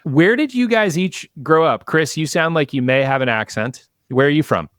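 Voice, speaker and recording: A man's voice: fairly high pitch, animated, moderate pace, a bit bright, dry recording, studio quality. A man hosting a podcast and interviewing guests in a conversational, presenterly way.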